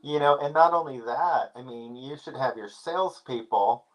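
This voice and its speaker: A man speaking as a guest expert in a casual interview, explaining things in a relaxed way with many fillers. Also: medium pitch, moderate pace, low-quality recording.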